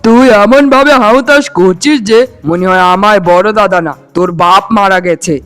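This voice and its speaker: A man's voice: sits high, moderate pace, fairly bright tone, clean tone. A man voicing a rich husband in a melodramatic cartoon, speaking in an overwrought way and repeating words for emphasis. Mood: scornful, threatening. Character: greedy, arrogant rich husband and younger brother. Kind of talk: melodramatic Bengali cartoon dialogue.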